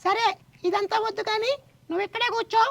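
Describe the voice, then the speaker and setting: A woman's voice: very high-pitched, steady tone, quick pace, vocal fry. A woman voicing a cheeky, vain little boy puppet who whines and argues in a children's puppet show. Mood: argumentative. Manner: whiny, argumentative, boastful.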